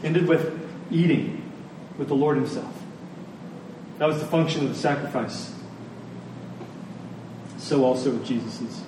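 A man preaching a sermon to a congregation in an earnest, deliberate manner, repeating phrases for emphasis. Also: medium pitch. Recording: very echoey room, distant mic, faint noise.

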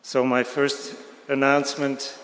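A man's voice: medium pitch, monotone, unhurried, a bit bright. Man giving church announcements, echoey hall. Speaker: church elder. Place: large church sanctuary.

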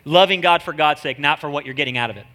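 A man's voice: on the high side, quick pace, bright, forceful tone. A man with a nasal voice, a guest preacher giving a casual, joking sermon full of slang. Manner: chatty, joking, slangy delivery.

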